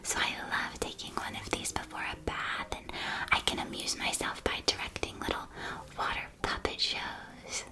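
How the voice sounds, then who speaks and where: A woman performing an ASMR roleplay as a friendly magical candy shopkeeper, speaking in a soft, breathy whisper with gentle, unhurried sales patter. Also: natural voice. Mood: gentle, inviting.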